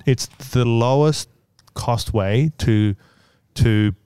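A man's voice: medium pitch, drawn-out words, dark tone, smooth, dry recording, clean studio sound. A man with a stutter, chatting as a podcast guest in a hesitant, filler-heavy way. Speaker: guest, business owner. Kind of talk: casual podcast conversation.

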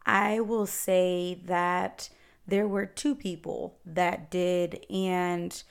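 A woman, hosting a solo podcast and chatting warmly as she answers listener questions. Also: lowish voice, little pitch movement, very slow, gravelly, clean tone. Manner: chatty, encouraging, advice-giving. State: slightly raspy voice. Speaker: podcast host.

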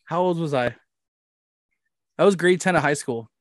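A man's voice: a little high, normal speed, dry recording, studio quality. A man with a Canadian accent hosting a podcast in casual chat, rambling, jokey and self-deprecating. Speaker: podcast host.

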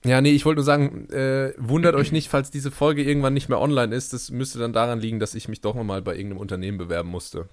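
A young man in a relaxed podcast, speaking at a medium pitch with dry, ironic humor. The sound is close and dry, with no room around it. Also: fast talker, few pauses, dry recording. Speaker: podcast co-host. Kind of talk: casual podcast chat.

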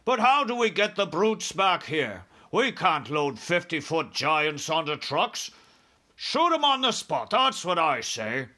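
Man acting a blustering, forceful army commander. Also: a little high, very animated, a little slow, voice pushed up, full character voice. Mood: exasperated. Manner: indignant, barking, clipped military talk. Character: blustering, hot-tempered male army commander. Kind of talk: storybook character voice.

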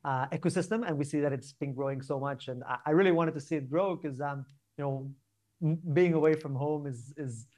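Young Spanish-accented man, higher-pitched voice. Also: fairly quick, darkish tone. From Barcelona, Spain. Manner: informal, discursive, often checks with "right?" and "like". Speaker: podcast guest, startup co-founder. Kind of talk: casual interview conversation.

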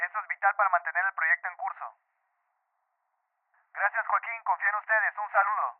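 A man's voice: high-pitched, fairly even intonation, fairly quick, lightly acted. A man playing a polite, commanding government official in a radio drama, courteous and businesslike, on a dull phone-like recording.

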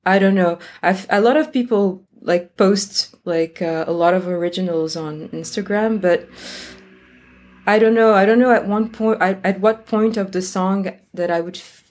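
A woman's voice: mid-range voice, normal speed, darkish tone. A woman guest musician answering interview questions tentatively, often trailing off with uncertainty.

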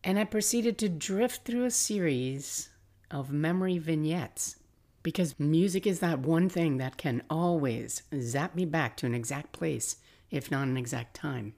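An older woman hosting a podcast, telling personal stories in a casual, reflective, confiding and expressive way. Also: sits low, a little slow. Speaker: podcast host.

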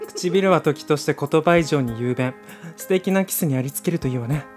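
A man's voice: a little high, normal speed, darkish tone, soft background music, close to an everyday voice. A man voicing a haughty, self-assured femme fatale in an amateur radio drama, with theatrical feminine phrasing. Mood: cool. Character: haughty glamorous femme fatale, weary of adoring suitors.

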